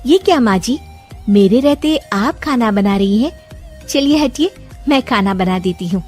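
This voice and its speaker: A woman's voice: a little high, animated, a little slow, darkish tone, soft background music. A woman voicing a sweet, eager young daughter-in-law in a comic family story, with a polite, girlish manner. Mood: dutiful, insistent. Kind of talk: animated moral-story voice acting.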